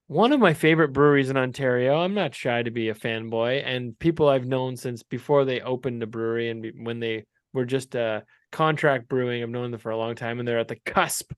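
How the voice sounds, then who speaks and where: A man's voice: mid-range voice, clean tone, no echo. Joking, rambling podcast host in his forties. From Canada. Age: 40s. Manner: joking, rambling, quick to laugh. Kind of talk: casual chat.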